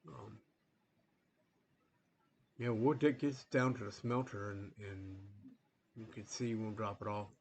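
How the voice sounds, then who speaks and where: A man with a low, flat voice walks through a train-game session in casual, rambling commentary, stopping often for ums and restarts. He sounds a little sniffly, as if a cold is coming on. A faint hum of room noise sits behind him. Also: takes it slowly, vocal fry, pauses often, poor audio. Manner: rambling, relaxed, many fillers and restarts. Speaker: gaming channel host.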